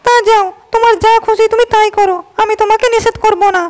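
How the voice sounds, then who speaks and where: A woman's voice: very high-pitched, level tone, quick pace. A woman voicing a defiant, scornful young princess. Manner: sharp and scornful. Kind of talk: cartoon voice acting.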